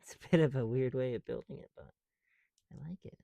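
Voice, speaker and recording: A man's voice: medium pitch, brisk, gravelly, dark tone, no echo. A man doing casual ASMR commentary, muttering to himself close to the mic as he works through a hands-on task. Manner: talking to self, musing aloud. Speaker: ASMR video creator.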